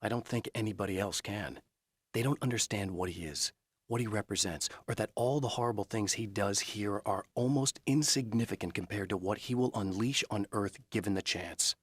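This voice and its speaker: A low-voiced brooding male hero of a thriller game, husky and recorded close and dry. He is earnest and measured, with a guarded determination. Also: dry recording. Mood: brooding, resolute. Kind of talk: dramatic game acting, introspective monologue.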